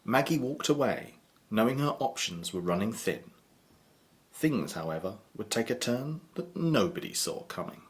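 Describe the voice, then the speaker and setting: A man's voice: medium pitch, lively intonation, normal speed, a little hiss or hum. A man with a British accent narrating a scripted audio drama in a theatrical, ominous storytelling manner.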